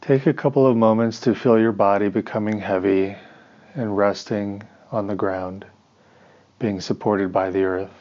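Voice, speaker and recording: A man leading a guided meditation as a teacher, giving measured instructions in short phrases with pauses between them. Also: lowish voice, steady tone, muffled recording.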